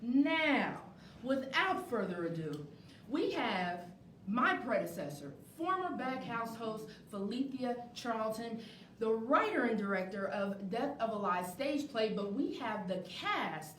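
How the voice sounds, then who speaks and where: A woman's voice: a little high, big pitch swings, slow pace, a bit bright. A woman hosting a live talk show and interviewing guests. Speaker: show host. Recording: echoey, distant mic, faint noise.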